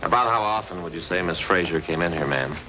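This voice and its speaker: An actor playing a brisk, businesslike male detective partner in an old radio drama. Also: low voice, lively intonation. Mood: questioning. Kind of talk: 1950s radio drama acting. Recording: old radio recording with loud noise.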